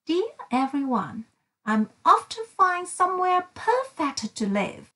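Woman voicing an eager young meerkat, high and lively. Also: unhurried, heavily acted. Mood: earnest, reassuring. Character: eager young male meerkat writing home, cheerful. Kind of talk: children's picture-book letter reading.

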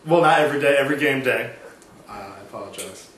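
A man playing a coach at a press conference, speaking haltingly with many pauses and ums. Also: mid-range voice, animated, a bit bright, distant mic, slight background noise. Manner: halting, hesitant, full of ums. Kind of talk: comic press conference skit. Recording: echoey room, heard from afar.

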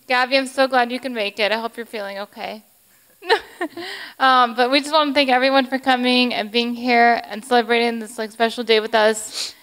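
A woman's voice: on the high side, steady tone, moderate pace, fairly bright tone, smooth. A woman thanking wedding guests in a chatty, giggly way. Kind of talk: casual thank-you speech.

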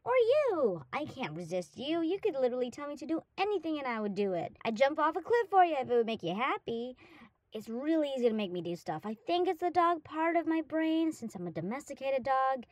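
A woman's voice: high-pitched, very animated, a little fast, darkish tone, dry recording. A woman playing a hyperactive, affectionate young dog-girl roommate in a roleplay audio drama, gushing and rambling eagerly to the listener. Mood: playful, confessing.

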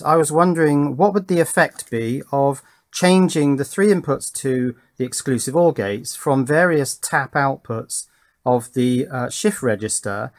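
A British man presenting a hands-on electronics demonstration in a measured, explanatory way, thinking aloud as he goes. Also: mid-range voice, no echo, clean studio sound. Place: indoor workbench room.

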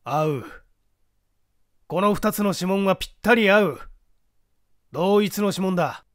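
A man's voice: sits high, a little slow, no echo, studio quality, pitched above the performer's own voice. Man acting a brusque, commanding police detective. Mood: smug. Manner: brusque, authoritative.

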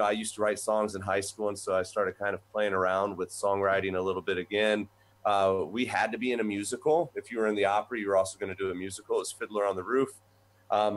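A man in his forties, a voice professor from the United States, telling his background in a relaxed, rambling way over a video call from a small office. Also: fairly low pitch. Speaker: guest, voice professor. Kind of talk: conversational storytelling. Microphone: webcam on video call.

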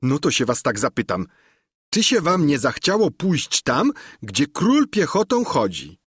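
A man's voice: on the high side, huge pitch swings, measured pace, fairly bright tone, breathy edge, no echo, clean studio sound, voice pushed up, exaggerated voice. A man voicing a simple, earnest peasant squire who talks in a blunt, folksy, pleading way.

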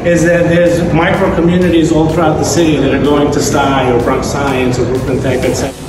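Man raised on Italian, rambling fillers, slightly high voice, booming hall, loud music underneath. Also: level tone, fairly quick. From New York City. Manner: rambling, many fillers and restarts. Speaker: alumni association director. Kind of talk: informal speech to students on a school tour. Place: large echoey school lobby. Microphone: far camera. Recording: echoey hall from far off, music over it.

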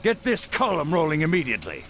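A man's voice: a little high, wildly swooping pitch, quick pace, fairly bright tone. A man playing an arrogant, blustering human army marshal in a game cutscene, pompous and contemptuous. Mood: irritated, barking. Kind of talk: dramatic game cutscene acting. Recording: music behind.

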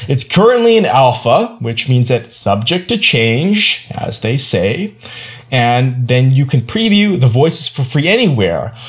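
A man's voice: medium pitch, sing-song, measured pace. Young male tutorial presenter, casual and playful, slangy asides, home screencast feel. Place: small indoor room at home.

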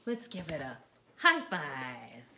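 A middle-aged woman doing a children's read-aloud in an animated, coaxing sing-song, asking questions as she goes, recorded in a living room. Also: low voice, slow pace. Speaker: therapy dog owner reading a storybook aloud. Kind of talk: children's read-aloud, talking to a dog and young viewers. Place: living room by a stone fireplace, indoors. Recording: clearly noisy home recording.

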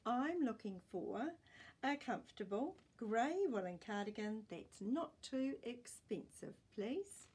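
A woman acts out a fussy old grandpa from a children's storybook. He is polite but plaintive and weary, with a husky voice that rises and falls a lot. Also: a little high, expressive, slow, darkish tone, heavily acted. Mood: polite, hopeful.

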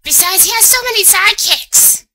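A woman voicing a small, excitable, indignant schoolboy who whines and protests in an anime fan dub. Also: very high voice, moderate pace, noisy background. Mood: exasperated, alarmed. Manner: whiny, protesting.

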